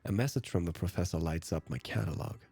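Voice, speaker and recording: Very deep, gravelly German man reading. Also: quick pace, dark tone. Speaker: let's play host. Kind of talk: reading visual novel narration aloud.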